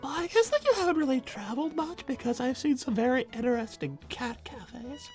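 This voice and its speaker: A woman's voice: sits high, animated, brisk, a little mellow, faint music behind. A woman playing a stern, no-nonsense mother, sardonic and blunt, in an improvised character livestream. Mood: smug. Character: Davina, stern no-nonsense exasperated mother collecting money.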